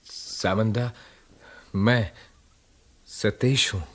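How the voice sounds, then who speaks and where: Husky, subdued, weary writer. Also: male voice, fairly low pitch, steady tone, very slow, fairly bright tone, full character voice. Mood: reserved, earnest. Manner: hesitant, deferential, brief phrases. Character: subdued male writer, respectful, weary, disillusioned old comrade. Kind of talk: radio drama acting.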